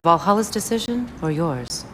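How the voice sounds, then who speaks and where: An actress playing a stern, cautioning warrior ally in a video game, serious, frank and measured. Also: female voice, fairly low pitch, animated, fairly bright tone, a little hiss or hum. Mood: wary, concerned. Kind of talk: video game cinematic acting.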